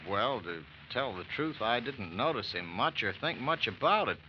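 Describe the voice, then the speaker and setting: Actor as folksy doctor on muffled old radio. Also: male voice, mid-range voice, lively intonation, moderate pace, lightly acted. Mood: helpful recollection. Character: helpful small-town male doctor giving witness account. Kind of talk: 1940s-50s radio crime drama acting. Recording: dull, hissy old radio recording.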